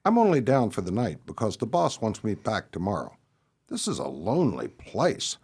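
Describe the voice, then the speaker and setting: A man's voice: lowish voice, wildly swooping pitch, moderate pace, a little mellow, slightly rough, full character voice. An actor playing a nervous, slangy city man in a radio play, brusque and wisecracking. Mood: jittery.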